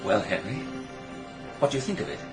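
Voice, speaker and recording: An actor playing an earnest, secretive painter with an English accent, hesitant and intense, in a radio drama. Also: male voice, on the low side, big pitch swings, a little fast, music in the background. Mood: guarded.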